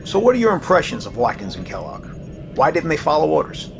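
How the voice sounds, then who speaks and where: A male actor playing a composed, businesslike naval commanding officer with a measured, authoritative manner in an audio drama. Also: fairly high pitch, huge pitch swings, heavily acted. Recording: clear background noise, ambience.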